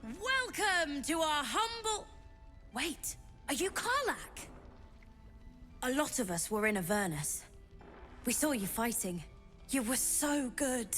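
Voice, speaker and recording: A woman voicing an eager, cheeky tiefling child shopkeeper in a video game, excitable and full of sales patter. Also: sits high, takes it slowly, pauses often, faint music behind, full character voice. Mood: starstruck, excited.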